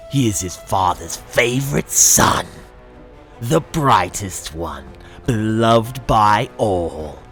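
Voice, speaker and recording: A man giving a dramatic audiobook-style reading of fiction in the second person, brooding and theatrical, with deliberate phrasing. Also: low voice. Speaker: narrator reading a fiction excerpt. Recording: voice over background music. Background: dramatic music.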